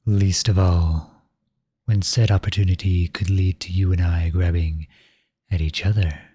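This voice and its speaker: A man playing a flirtatious, silver-tongued royal at a masquerade ball in an ASMR roleplay, speaking in courtly, formal, flattering phrases with a husky voice. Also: low-pitched, a little slow, no echo. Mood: intrigued, flirtatious. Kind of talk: ASMR roleplay, scripted romantic monologue addressed to listener.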